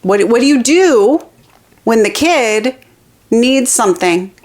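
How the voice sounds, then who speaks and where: A woman's voice: on the high side, animated, slow. A woman co-hosting a podcast, telling everyday anecdotes frankly and casually, often quoting herself and other people. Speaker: podcast co-host. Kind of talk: casual chat.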